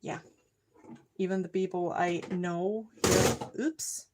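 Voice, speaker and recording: A woman's voice: fairly low pitch, steady tone, slow pace, a little mellow, slight background noise. A Finnish woman with a Finnish accent, chatting casually with viewers during a live card-making stream and sometimes hunting for words. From Finland. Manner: chatty, searches for words, talks to viewers while working. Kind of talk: casual live crafting chat. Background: clicking crafting tools.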